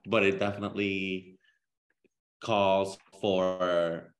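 A man in his late twenties from Kansas talks as a podcast guest in a very low voice. He is earnest and thoughtful, often restarting his sentences as he searches for words. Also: level tone, takes it slowly. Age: 20s. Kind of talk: casual podcast interview.

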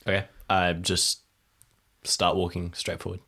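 A man's voice: low-pitched, completely flat delivery, measured pace, creaky, bright, forceful tone. A man playing in an improvised role-playing game, casual and a little hesitant as he thinks aloud.

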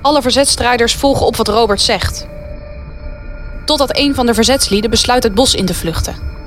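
A woman's voice: on the high side, normal speed, faint music behind. A woman reading scripted narration as the storyteller of a wartime radio play.

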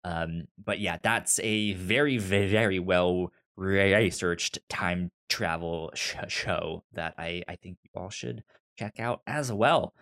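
Male podcast co-host, deep-leaning voice, noticeable stutter on repeated syllables, informal and unhurried. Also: fairly bright tone. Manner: halting, informal delivery. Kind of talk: casual chat recommending and discussing TV shows.

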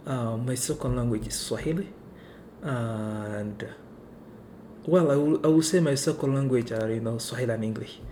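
A man from Kenya whose first language is Somali, speaking in a relaxed, mellow voice. He talks unhurriedly and fills his pauses with "you know" and "right". A faint noise sits under the recording. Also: mid-range voice. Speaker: interview guest. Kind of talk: casual conversation.

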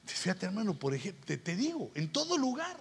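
A Guatemalan man preaching in a husky, fairly high voice. His pitch swings widely as he moves between teaching and colloquial storytelling. He talks to listeners informally and acts out conversations as he goes. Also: huge pitch swings, fairly quick. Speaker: pastor, preacher. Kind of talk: conversational sermon with anecdotes.

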